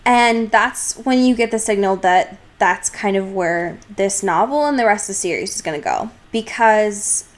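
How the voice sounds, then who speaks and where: A woman's voice: mid-range voice, lively intonation, moderate pace, hardly any pauses. A young woman co-hosting a podcast, recapping a book casually in a slangy, irreverent, sarcastic way. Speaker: podcast co-host.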